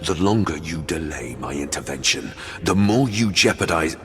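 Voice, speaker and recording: An actor playing a stern, ruthless male regent who speaks bluntly and impatiently, with a gravelly voice. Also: rumbling low, lively intonation, moderate pace, fairly bright tone, big-room sound, soft background music. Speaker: game character.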